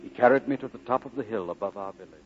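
An actor playing a grown man wistfully recalling his boyhood, narrating an old radio drama in a measured, reflective way. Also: on the low side, slight background noise, close to an everyday voice. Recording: muffled old radio broadcast with music.

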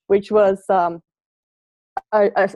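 A woman's voice: medium pitch, little pitch movement, slow, dark tone, dry recording. A Swedish woman in her thirties, a nurse, with a Swedish accent blended with Australian. Her first language is Swedish, and she chats casually as an interview guest, laughing often and restarting her sentences. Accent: Swedish mixed with Australian. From Sweden.

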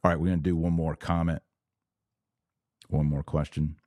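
A man hosting a podcast of religious commentary, speaking in an emphatic, didactic way with deliberate phrasing. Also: rumbling low, little pitch movement, a little mellow, dry recording. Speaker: podcast host.

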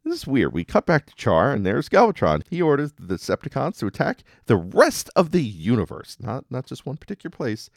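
A man's voice: medium pitch, wildly swooping pitch, a little fast, creaky, hardly any pauses, no room sound, studio quality. A man hosting a podcast, casually recapping episodes with wry, jokey asides. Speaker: podcast host.

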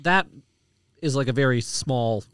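A man's voice: mid-range voice, a little slow, fairly bright tone, smooth, no room sound. A man chatting casually as a panelist in a sports podcast debate.

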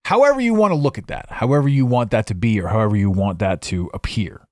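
Man, podcast host, low voice, dry close studio mic, reflective, rambling with restarts. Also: expressive, quick pace, darkish tone, dry recording. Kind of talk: impromptu solo podcast monologue. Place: home studio. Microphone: studio microphone.

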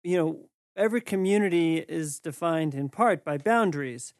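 Higher-voiced male interviewer, dry sound. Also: lively intonation, moderate pace, dry recording. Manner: thoughtful, searching questions. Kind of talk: casual interview conversation.

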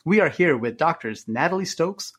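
A man's voice: fairly high pitch, expressive, normal speed, a bit bright, husky, no room sound. Upbeat male podcast co-host. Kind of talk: medical podcast hosting.

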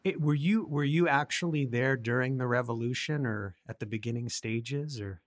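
A male podcast host who asks his questions in halting, stop-start phrases. His voice sits at an average pitch for a man, with a plain conversational delivery. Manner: hesitant, restarting questions. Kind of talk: interview conversation.

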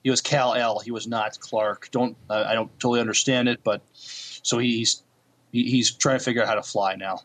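A man co-hosting a podcast, chatting casually about TV in an agreeable, halting way. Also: medium pitch, steady tone, fairly bright tone. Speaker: podcast co-host. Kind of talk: casual TV review chat.

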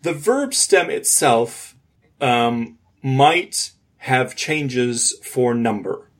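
Husky-voiced man explaining things. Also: mid-range voice, very animated, drawn-out words. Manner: explanatory, step-by-step. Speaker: podcast co-host. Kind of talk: informal explanatory discussion of linguistics.

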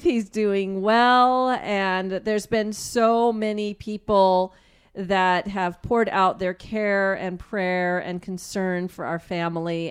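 A woman's voice: medium pitch, fairly bright tone, clear, resonant tone. A woman in casual radio conversation, speaking in an earnest, reflective way.

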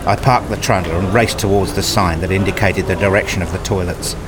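A man's voice: low-pitched, fairly even intonation, fairly quick, heavy background noise, natural voice. A man playing a self-deprecating, nerdy father who tells his own story in a radio play in wry, formal wording. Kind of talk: first-person radio play narration.

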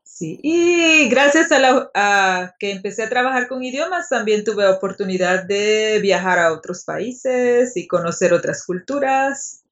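A middle-aged woman with a Salvadoran accent, a language teacher answering interview questions hesitantly with soft pauses. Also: mid-range voice, animated. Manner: hesitant, laughs softly between answers. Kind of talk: conversational interview. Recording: dull, lo-fi audio.

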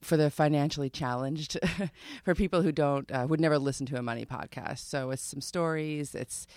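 A woman's voice: sits low, moderate pace, darkish tone, no echo, clean studio sound. A woman from Long Island, a podcast guest, chatting casually and self-deprecatingly with easy laughs. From Long Island, New York. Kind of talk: casual interview chat.

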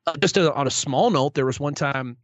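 A man's voice: a little high, brisk, dry recording. A young man, a sports play-by-play broadcaster, answering interview questions in a good-humored, self-deprecating way with many restarts. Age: young adult. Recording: dull lo-fi sound.

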